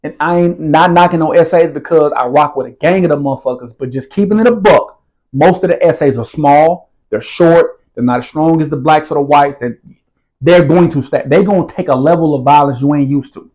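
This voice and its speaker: Interview guest: man, slightly high voice, relaxed streetwise delivery, keeps checking in with the listener. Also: fairly quick, poor audio. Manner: streetwise slang, repeats "you feel what I'm saying". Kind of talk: casual storytelling interview.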